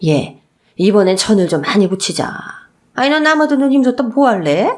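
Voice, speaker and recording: A woman's voice: medium pitch, big pitch swings, brisk, natural voice. A woman voicing a spiteful old mother-in-law who scolds and belittles with exasperated exclamations in a radio story drama. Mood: contemptuous, scolding. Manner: scolding, contemptuous, sighing exclamations.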